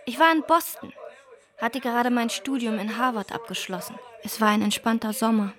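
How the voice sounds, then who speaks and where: Eine Schauspielerin spielt eine junge, unbeschwerte Absolventin, die in einem Hörspielmonolog ihre Erinnerungen erzählt. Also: female voice, a little high, fairly even intonation, normal speed, lightly acted. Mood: relaxed recollection. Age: young adult. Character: young female graduate, easygoing, recalling events. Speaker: radio drama actor. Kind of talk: dramatic first-person monologue.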